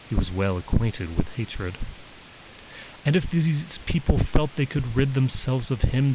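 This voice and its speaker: Low-voiced man narrating fiction. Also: low-quality recording. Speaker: author reading own story. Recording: faint steady background hiss.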